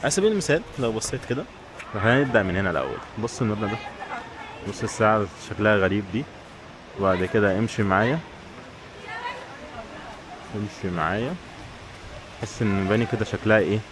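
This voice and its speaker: Very fast-talking Egyptian man vlogging outdoors. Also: on the low side, animated. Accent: Egyptian Arabic. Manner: informal, addresses viewers directly. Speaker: travel vlogger. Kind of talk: casual vlog chat to camera. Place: outdoors in town streets. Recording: clear outdoor street and traffic noise.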